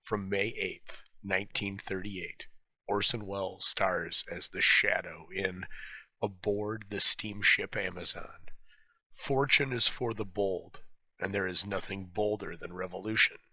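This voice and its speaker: Deep, very bright male radio-show presenter. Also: fairly even intonation, unhurried, no echo, low-quality recording. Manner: theatrical, portentous. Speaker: host introducing an old radio show. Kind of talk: dramatic scripted introduction.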